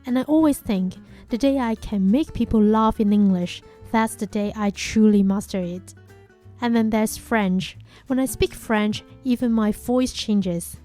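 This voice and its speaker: A young woman whose first language is Chinese, a podcast host giving a calm, measured, reflective monologue. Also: medium pitch, soft background music.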